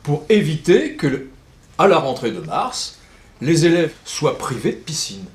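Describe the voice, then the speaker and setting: A man's voice: a little high, big pitch swings, a little slow. A man speaking as a local politician, arguing his case in debate at a public meeting. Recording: echoey room, faint background noise.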